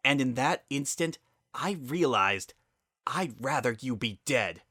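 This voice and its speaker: A man voicing a furious, bitter female pegasus pony, accusing her sister in forceful outbursts, in a dramatic story reading. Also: medium pitch, lively intonation, slow, no room sound, clean studio sound, full character voice.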